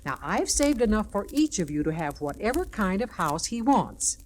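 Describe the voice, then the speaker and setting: A woman's voice: mid-range voice, big pitch swings, moderate pace, close to an everyday voice. Woman acting a lilting, fussing storybook mother pig. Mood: cautioning. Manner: admonishing, motherly. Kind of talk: 1960s children's storybook record acting. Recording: noisy old 1960s children's record.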